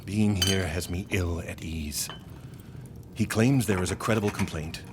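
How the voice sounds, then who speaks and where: An actor in an audio drama playing a concerned, proud senior scholar and mentor who questions insistently. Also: male voice, sits low, a little slow, noisy background. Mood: wary, insistent. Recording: music under the voice.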